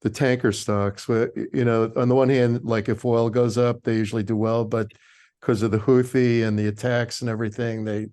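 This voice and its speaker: A man's voice: medium pitch, flat delivery, darkish tone. Hesitant man asking questions. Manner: hesitant, full of pauses and restarts. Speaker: audience member asking questions. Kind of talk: halting questions on a call.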